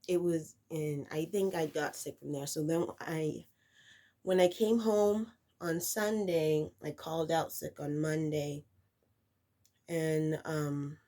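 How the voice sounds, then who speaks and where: A woman's voice: low voice, warm tone. A woman vlogging casually to camera, congested and nasal from a cold, rambling with lots of fillers and restarts. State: stuffy nose from a cold.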